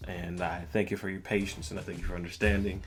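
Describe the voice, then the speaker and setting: A man hosting a podcast, telling a personal story in a casual, measured way with music playing behind the voice. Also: sits low, little pitch movement. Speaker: podcast host. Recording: music bed under the voice. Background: background music.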